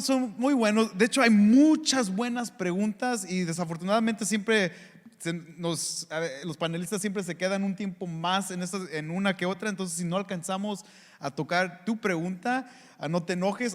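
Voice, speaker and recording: A man with a Mexican accent moderating a panel in an informal, joking way. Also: sits high. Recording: reverberant hall sound.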